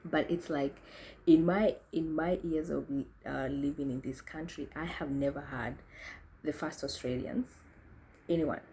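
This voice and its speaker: A woman's voice: low voice, steady tone, measured pace, warm tone, a little hiss or hum, poor audio. A young woman from Kenya reflecting on her own experiences in a thoughtful, self-correcting way, often restarting her sentences. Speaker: speaker sharing experiences. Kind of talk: reflective talk to camera.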